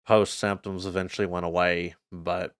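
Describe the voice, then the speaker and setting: A man's voice: deep voice, little pitch movement, moderate pace, a bit bright, clear, resonant tone, no room sound, studio quality. A North American man giving a casual channel update as a gaming YouTuber, talking informally and earnestly in a rambling way.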